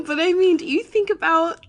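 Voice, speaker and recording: A woman playing a giggly, confiding teenage girl at a sleepover in an audio drama, halting and nervous. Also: very high-pitched. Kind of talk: intimate audio drama acting.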